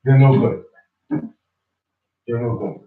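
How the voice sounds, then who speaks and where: A man's voice: mid-range voice, little pitch movement, slow, slight background noise. Man teaching scripture in an echoey room. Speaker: religious teacher. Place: small study room with bookshelves, indoors. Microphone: far room camera. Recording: echoey, distant, dull room recording.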